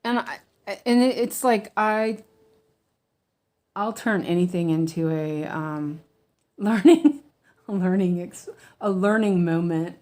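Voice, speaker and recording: A woman's voice: fairly low pitch, slow, pauses often, a little mellow. An American woman, a weaving teacher, chatting casually as she stitches, with lots of ums, restarts and easy laughter. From United States. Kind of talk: casual stitch-along chat.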